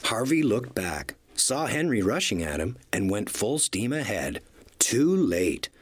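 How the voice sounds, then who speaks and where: A man reading a children's read-along story as narrator, in an expressive storytelling style. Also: lowish voice, a little slow, slightly rough.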